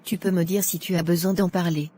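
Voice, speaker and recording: A woman playing a nosy, gossipy office colleague in an animated drama, confiding and familiar. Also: on the low side, brisk, natural voice. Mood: concerned, knowing. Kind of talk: casual dialogue in an animated short. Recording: faint background noise.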